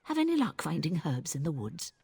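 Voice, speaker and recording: A woman's voice: sits low, huge pitch swings, brisk, a little mellow, husky, exaggerated voice. A woman playing a brusque, weary village healer in a video game, speaking in blunt, earthy phrases. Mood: grateful.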